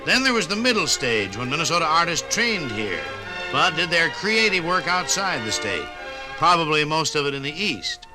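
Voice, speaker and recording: A man narrating a scripted 1950s radio documentary in a measured, formal announcer style. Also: on the high side, very animated. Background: music.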